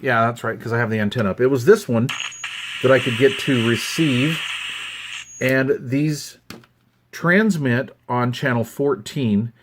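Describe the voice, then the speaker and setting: A man's voice: medium pitch, a little slow, slight background noise. A man in his fifties with a Western American accent, chatting in a rambling, conversational way as he shows off a hobby find. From United States, Utah. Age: 50s. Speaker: hobbyist video host. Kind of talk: casual hands-on show-and-tell. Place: indoors at a wooden desk.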